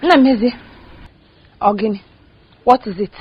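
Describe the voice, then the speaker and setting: An actress playing a bitter young woman, shouting and crying accusations at her brother's friend. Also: fairly high pitch, measured pace, dull audio, slight background noise, natural voice. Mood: scornful. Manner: shouting through tears, accusing. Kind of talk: film acting.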